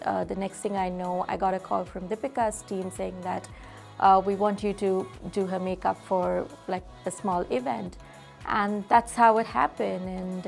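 A woman in her thirties, a makeup artist, recalling her story warmly in a seated interview beside a makeup table. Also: medium pitch, gravelly. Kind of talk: sit-down interview. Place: studio flat with makeup table, indoors.

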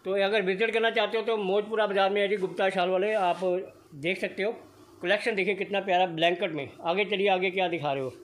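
A man's voice: sits high, fairly even intonation, quick pace, fairly bright tone. A man filming a shop walkthrough on his phone, praising the goods in upbeat, repetitive sales patter. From Punjab, India. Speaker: shop video vlogger. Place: indoor blanket shop.

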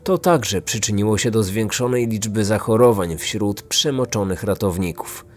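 Low-voiced male true-crime narrator. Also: moderate pace, faint music behind. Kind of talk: scripted narration.

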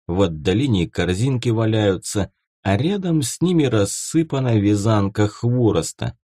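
A man narrating first-person fiction from a script as an audiobook, with a dramatic, expressive delivery. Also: on the low side, moderate pace, dry recording, studio quality.

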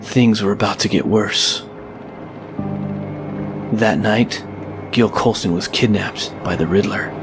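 An actor playing a brooding, terse male vigilante detective, narrating in a grave, clipped, deliberate way in an audio drama. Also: fairly low pitch. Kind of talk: first-person scripted narration, audio drama. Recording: loud tense music behind.

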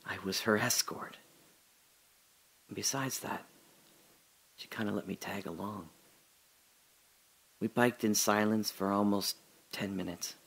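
A man performing a reflective audio drama monologue, speaking slowly and often dropping to a whisper. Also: fairly low pitch, steady tone, fairly bright tone, rough recording. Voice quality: slow, gravelly and husky, often dropping to a whisper. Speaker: radio drama narrator and lead.

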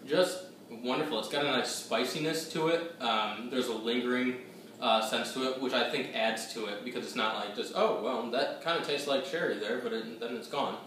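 A young man talks to the camera about a whiskey, rambling and hesitant, with many fillers and restarts. His voice sits at an ordinary pitch but rings in a very echoey room. He sounds a little distant from the mic, with faint ambient noise underneath. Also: normal speed, a bit bright. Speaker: whiskey reviewer. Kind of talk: casual tasting review to camera. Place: small room at home, seated at a table.

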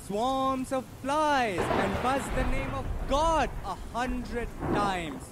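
A teenage boy narrating a poem slowly and solemnly on a school stage. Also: very high-pitched, expressive, very noisy. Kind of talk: slow dramatic poetry recitation.

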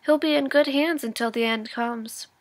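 A woman's voice: on the high side, moderate pace, natural voice. A woman voicing a blunt, practical country doctor in a storybook reading, speaking firmly and matter-of-factly.

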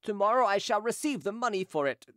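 A reader playing a smooth, placating schemer. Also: mid-range voice, lively intonation, fast talker, fairly bright tone, dry recording, clean studio sound, pitched above the performer's own voice, lightly acted. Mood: pleading. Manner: pleading, placating. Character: scheming man, smooth and conciliatory under pressure.

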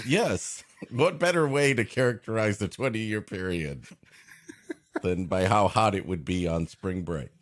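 A man's voice: on the low side, measured pace. A man co-hosting a comedy podcast in casual banter, wry and playfully teasing. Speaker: podcast co-host.

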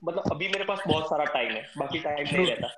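A man's voice: a little high, lively intonation, fast talker, a loud crowd. A man in the audience telling the comic how he found the show, in a chatty, laughing way. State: in isolation at home. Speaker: audience member on call. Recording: roomy noisy call audio.

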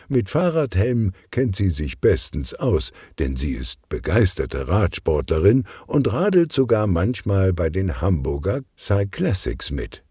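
A man narrating a children's detective audiobook in an expressive storytelling style. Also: sits low, husky, no echo, studio quality.

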